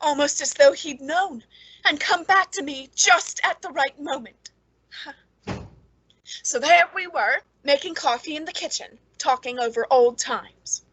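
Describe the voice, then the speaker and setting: A woman's voice: sits high, a little slow, fairly bright tone, rough recording. An actress playing a lonely, eager, nervously polite woman in an old-time radio suspense drama, hesitant and genteel. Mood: delighted.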